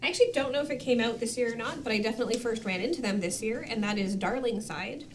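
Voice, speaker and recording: A woman with a fairly high voice chats casually in a group. Light background noise sits behind her. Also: fast. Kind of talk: casual chat.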